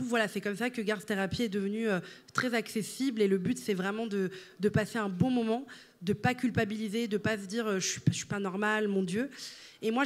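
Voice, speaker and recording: A woman who grew up in Italy, Italian as her first language, talking at length as an interview guest with frequent fillers. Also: on the high side. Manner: fluent and expansive, many fillers. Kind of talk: interview conversation.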